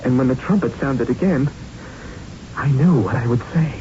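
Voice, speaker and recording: An actor in a 1970s radio drama playing a tough, haunted young boxer who tells his story in a halting, intense, streetwise way. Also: medium pitch, warm tone, lightly acted. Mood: intense, defiant. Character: haunted young male boxer, tough, plain-spoken, shaken. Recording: muffled, noisy 1970s radio recording.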